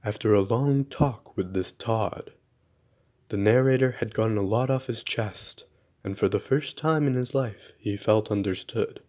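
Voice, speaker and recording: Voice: medium pitch, unhurried, mellow, lightly acted. An actor playing a snarky, dramatic narrator in a radio play, exasperated and secretly lonely. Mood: wry narrating. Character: exasperated, lonely narrator who mocks the man he follows.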